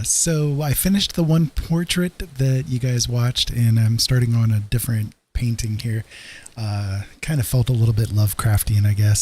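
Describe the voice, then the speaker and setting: A man's voice: mid-range voice, mellow. A man hosting a livestream, chatting casually and musing in an easygoing way. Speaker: livestream host.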